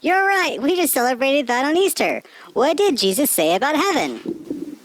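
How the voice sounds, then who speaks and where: A woman's voice: high voice, big pitch swings, normal speed. A woman voicing an inquisitive, naive little boy sheep puppet in a children's show. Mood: pleased. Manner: eager, questioning, naive. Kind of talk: scripted children's puppet dialogue.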